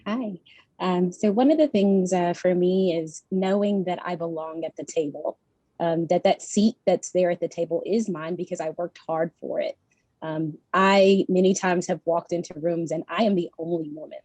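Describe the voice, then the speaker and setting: Low, mellow woman on a panel call. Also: fairly even intonation, normal speed, no room sound, low-quality recording. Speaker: panelist, Army National Guard servicemember. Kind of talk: panel discussion.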